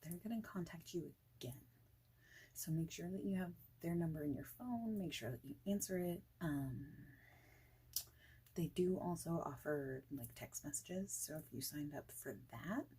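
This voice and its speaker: A woman doing a soft-spoken ASMR interview roleplay, with a breathy voice that often slips into whispering and a casual, hesitant, chatty delivery. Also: low-pitched, expressive, normal speed.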